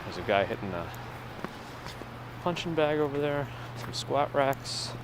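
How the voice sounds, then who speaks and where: A New man talks casually into a phone mic on a gimbal as he walks a city street. His voice sits at a middle pitch for a man. Traffic and street noise run clearly behind him. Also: unhurried. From New York. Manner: relaxed, chats with viewers while walking. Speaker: walking tour live streamer. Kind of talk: casual live-stream narration. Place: outdoors on a city street in winter. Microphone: gimbal-mounted phone mic.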